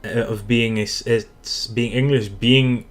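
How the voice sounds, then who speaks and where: A man's voice: mid-range voice, steady tone, takes it slowly. A man with a Dutch accent talking casually through his strategy game as he plays, thinking aloud and correcting himself as he goes. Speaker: gaming channel host. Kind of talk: casual let's play commentary.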